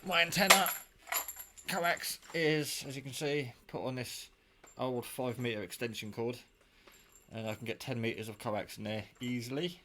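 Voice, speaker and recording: A man's voice: medium pitch, moderate pace. A man giving a casual hands-on show-and-tell of his hobby kit, offhand and muttering to himself as he works, recorded on a handheld camera. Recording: clear background noise, clicks of handling.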